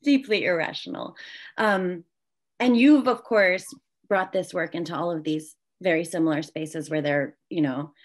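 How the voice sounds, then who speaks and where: A woman in her thirties with a fairly low voice, interviewing on a video call from a room indoors. She talks in a reflective, conversational way, with informal phrasing peppered with "like". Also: normal speed.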